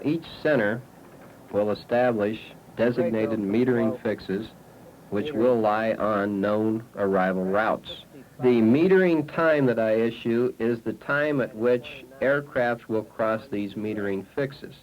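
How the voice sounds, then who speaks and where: A man's voice: fairly low pitch, unhurried, muffled recording. A man with an American accent, an air traffic controller explaining procedure methodically on dated 1970s film sound. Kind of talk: explanation to camera.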